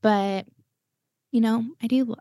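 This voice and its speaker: A woman's voice: a little high, fairly even intonation, measured pace, dark tone, no echo, studio quality. A young American woman hosting a podcast, chatting casually with lots of fillers and little laughs. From United States. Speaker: podcast host. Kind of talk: casual chat.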